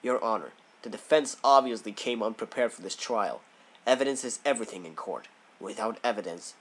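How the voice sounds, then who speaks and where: A man's voice: mid-range voice, breathy edge. A man playing a stern, haughty prosecutor who speaks in a pompous, formal and demanding way in a courtroom audio drama. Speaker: voice actor in a fan audio drama.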